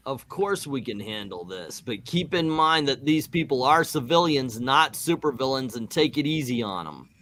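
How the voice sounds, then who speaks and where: Man playing a bright-voiced, beleaguered team captain. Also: mid-range voice, animated, normal speed, hardly any pauses, close to an everyday voice. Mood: firm. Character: beleaguered, responsible male superhero team captain. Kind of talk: comic book dramatic reading.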